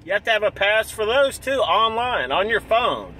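A man in his forties vlogging from inside a car, talking excitedly in a casual, repetitive way and clearing his throat often. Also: high-pitched, moderate pace, a little hiss or hum, low-quality recording. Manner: hyped, repeats himself, clears throat often. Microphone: dashboard camera close up.

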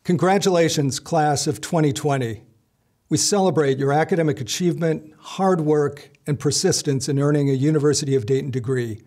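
A middle-aged man delivering a formal, scripted commencement address from a lectern in a measured, solemn way. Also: on the high side, darkish tone. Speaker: university leader giving commencement address. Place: indoor stage with curtain backdrop.

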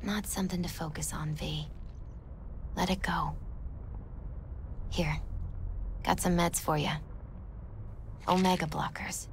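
An actress playing a gentle, grave healer in a video game, speaking tenderly in a breathy half-whisper. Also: female voice, lowish voice, steady tone, a little hiss or hum. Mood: grave, soothing. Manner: tender, soothing, unhurried. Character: soft-spoken gentle woman healer, caring and grave. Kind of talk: naturalistic video game acting.

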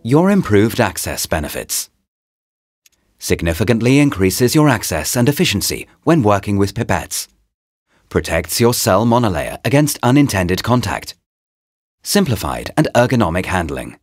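A man reading a scripted promotional voice-over in short, deliberate, presentational phrases, as a product narrator. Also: fairly low pitch, sing-song, no echo, studio quality. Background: background music.

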